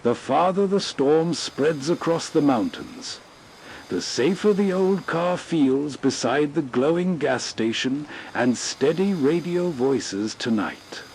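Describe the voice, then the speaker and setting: A male host reads atmospheric scripted narration very slowly. His voice sits slightly high for a man, with faint ambient noise behind it. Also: expressive, darkish tone. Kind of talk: slow scripted atmospheric bedtime-style narration.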